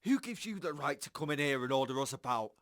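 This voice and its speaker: A man's voice: medium pitch, expressive, quick pace, a bit bright, no echo, studio quality, natural voice. An actor playing an outraged, idealistic man in his forties in an audio drama, pleading and indignant. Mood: indignant shock. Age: 40s. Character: outraged, idealistic man of forty-three, a whistleblower.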